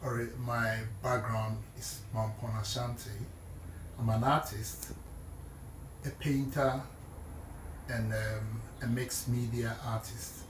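A man's voice: medium pitch, little pitch movement, slow pace, pauses often, far from the mic, noisy background. A middle-aged man from Ghana with a Ghanaian accent, telling his life story to camera, rambling with many restarts. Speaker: artist. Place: indoor room with paintings behind. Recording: roomy echo, speaker set back from mic.

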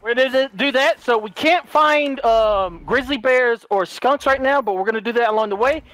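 A man chatting and laughing while playing a co-op video game, a bit hoarse from long talking, often breaking into excited shouts. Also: very high-pitched, normal speed. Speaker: co-op gaming guest. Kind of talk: casual gameplay chat.

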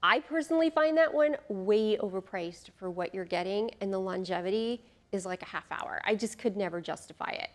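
A woman's voice: mid-range voice, fairly quick, hardly any pauses. A middle-aged woman reviewing perfumes to camera in a studio, chatty and enthusiastic, thinking aloud with fillers and asides, on a lapel mic. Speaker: fragrance reviewer. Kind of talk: casual product review to camera. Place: studio in front of a beach backdrop.

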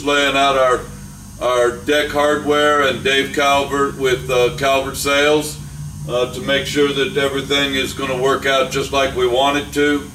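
An older man, a boat builder, giving a plain, unhurried walkthrough of a catamaran under construction, with frequent fillers. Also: mid-range voice, fairly bright tone, recorded from a distance. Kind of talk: casual walkthrough tour. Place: large boatbuilding warehouse, indoors. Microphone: camera mic, speaker often several steps away. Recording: echoey warehouse, voice from off-mic, faint hum.

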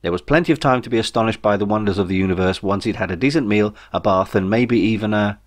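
A man's voice: on the low side, fast. A British man reading a comic novel as audiobook narration in a wry, droll way. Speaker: author reading own novel.

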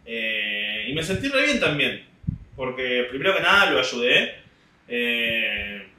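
A man's voice: mid-range voice, very animated, slow pace, sharp tone, echoey room, distant mic, slight background noise. A young man with an Argentine Spanish accent telling a rambling comic story in a casual monologue. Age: young adult. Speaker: comedian podcast host. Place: small home room indoors. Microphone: desk mic on stand, camera at distance.

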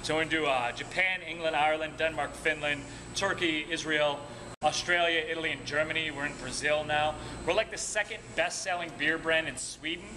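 A man guides a tour with humorous, colloquial stories. His voice sits a little high. He sounds casual, speaking over a noisy background. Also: steady tone, normal speed. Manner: chuckles at own anecdotes, colloquial. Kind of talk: casual humorous storytelling to a group. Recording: noisy room, background music at times.

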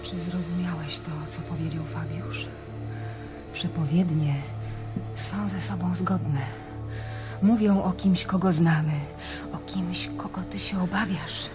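An actress playing a Roman governor's troubled, insistent wife in a radio play. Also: female voice, on the low side, steady tone, slow pace, over music. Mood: hushed, troubled. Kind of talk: dramatic radio play acting.

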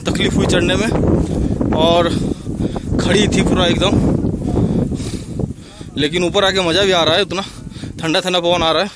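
A man's voice: high-pitched, little pitch movement, moderate pace, long pauses. A young man narrating a casual vlog on a handheld camera outdoors. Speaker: vlogger filming a hill trek. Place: outdoors on an open windy mountainside. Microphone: handheld phone or camera mic. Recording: noisy windy outdoor camera recording.

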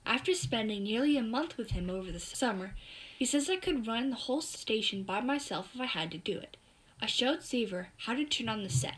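Clever, bossy young girl, played by a female performer, high voice, confident explainer in an audio drama. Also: close to an everyday voice, rough recording. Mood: eager. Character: eager, bossy, clever young girl recounting her discovery. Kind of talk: scripted storytelling, audio drama.

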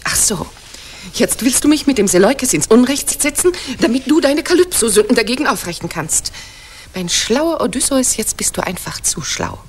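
Sharp-tongued, coquettish Greek wife female actor, very lively pitch, faintly noisy old radio play recording. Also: natural voice. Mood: angry. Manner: mocking, coquettish, quick to needle.

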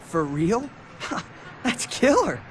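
Cocky young skater dude, animated. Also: male voice, high voice, extremely slow, heavily acted. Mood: eager, impressed. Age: young adult. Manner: brash, slangy, enthusiastic. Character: cocky, eager young small-town skater guy. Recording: loud vehicle and street noise behind.

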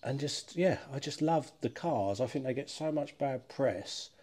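A man's voice: mid-range voice, animated, measured pace, a little mellow. A middle-aged man with a British accent chatting informally and self-deprecatingly as he works on a car in a workshop. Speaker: car collector and restorer presenting a channel. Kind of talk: casual chat while working on a car. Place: large workshop barn, indoors.

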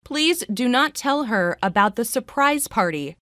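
A woman reading short English dialogue lines slowly and clearly, repeating each phrase three times for learners. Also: on the high side, expressive, sharp tone, dry recording, studio quality. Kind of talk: slow scripted phrase repetition.

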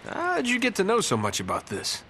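Wry treasure hunter, wildly swooping pitch. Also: male voice, wildly swooping pitch, fairly quick, slight background noise, full character voice. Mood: dry. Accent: American. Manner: sardonic, muttering to himself. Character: wry, scrappy male treasure hunter in his thirties. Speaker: protagonist of an adventure game. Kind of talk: video game voice acting. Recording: loud game music behind the voice. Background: loud music.

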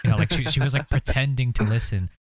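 A young man co-hosting a casual reaction chat, explaining things in a relaxed way with lots of fillers. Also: mid-range voice, fast, no room sound. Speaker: co-host.

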